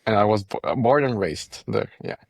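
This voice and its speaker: Low-voiced man from Costa Rica, halting. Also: gravelly, no room sound. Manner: halting, repeats words, laughs between thoughts. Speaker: podcast guest. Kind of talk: casual interview chat.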